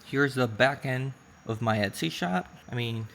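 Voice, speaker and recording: A man's voice: medium pitch, a little slow, creaky, very noisy. Man talking with a Spanish-tinged delivery. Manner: rambling, self-correcting, uneven pace. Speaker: Etsy seller vlogger. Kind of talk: casual vlog with screen walkthrough.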